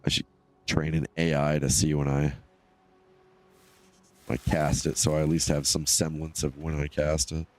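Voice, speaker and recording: A man with a stuffed-up, nasal voice giving casual, low-key, rambling solo commentary as he live streams a game. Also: level tone, moderate pace, pauses often, darkish tone, slight background noise. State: congested from allergies.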